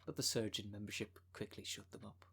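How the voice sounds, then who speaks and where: A man playing a smooth-talking, con-artist female minister of a demon cult in an ASMR roleplay, with a polished, unctuous sales manner. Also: lowish voice, fairly even intonation, fairly quick, fairly bright tone, exaggerated voice. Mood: self-satisfied. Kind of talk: ASMR roleplay audio drama.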